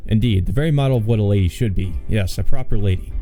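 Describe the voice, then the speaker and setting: A man's voice: fairly low pitch, fast talker, warm tone, faint music behind. A man hosting a let's play, reading a visual novel aloud with casual commentary.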